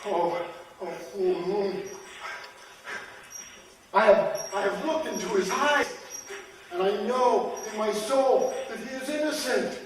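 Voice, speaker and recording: A man's voice: high voice, lively intonation, slow, long pauses, pitched above the performer's own voice. A man voicing a timid little shoemaker in a folktale, pleading fervently and stammering with exclamations. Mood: anxious pleading. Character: timid, sweating little shoemaker pleading desperately before his king. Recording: echoey hall, distant mic, background noise.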